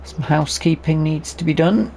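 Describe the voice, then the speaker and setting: A man's voice: a little high, moderate pace, creaky, mellow, slight background noise. A British man giving casual let's play commentary, often muttering to himself as he plays. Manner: muttering to himself while playing. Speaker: gaming video host.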